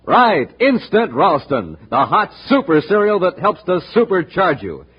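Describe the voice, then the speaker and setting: A male announcer pitching a sponsor on a 1950s children's radio serial in a punchy, emphatic style. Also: big pitch swings, measured pace, slightly rough. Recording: muffled, noisy 1950s radio recording.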